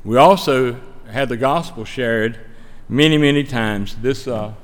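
A man's voice: medium pitch, measured pace. A man with a Stokes County North Carolina accent giving a folksy, earnest mission trip report to a church, speaking plainly and conversationally over slides. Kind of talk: plainspoken slide-show presentation. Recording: long hall reverb.

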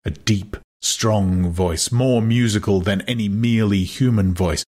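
A man narrating an audiobook in a measured, dramatic style. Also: on the low side, mellow.

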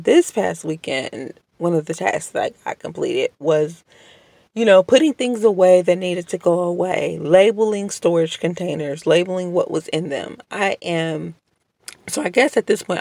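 Slow, gravelly woman with a strained voice. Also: lowish voice, a little mellow, dry recording. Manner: slow, hesitant, many ums and restarts; polite and apologetic. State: under the weather, voice strained. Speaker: podcast host. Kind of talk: casual solo podcast monologue. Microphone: home podcast microphone.

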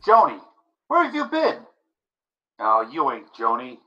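An actor playing an aggrieved, naively earnest young American boyfriend in a 1940s-style radio drama. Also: male voice, fairly high pitch, very animated, normal speed, background noise. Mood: eager, disappointed. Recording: dull old radio recording.

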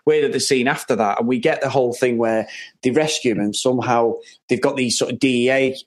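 A man with a medium-pitched voice co-hosts an informal film discussion. He talks in an animated, exclamatory manner, restarting and repeating words for emphasis. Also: fairly quick. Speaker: film review podcast co-host. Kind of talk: casual conversational film discussion.

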